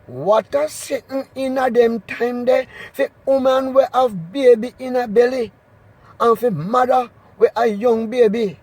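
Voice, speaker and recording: A man with a Jamaican accent doing an expressive, emphatic scripture reading in Patois. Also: very high-pitched, measured pace, slightly rough, no room sound. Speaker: Bible reader.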